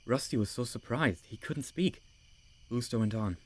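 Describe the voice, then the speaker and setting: A man's voice: mid-range voice, moderate pace, husky. A man reading scripted story narration as the narrator of an audiobook.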